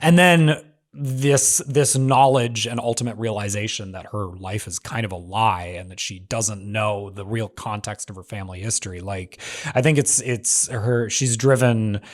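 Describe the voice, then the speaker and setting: A man in a casual panel discussion, talking emphatically in halting phrases peppered with "like". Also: lowish voice.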